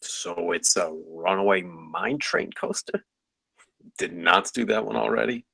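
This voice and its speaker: A man reading the part of a brisk, questioning colleague at a screenplay table read, with a gravelly voice. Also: deep voice, big pitch swings, bright, forceful tone, dry recording, heavily acted.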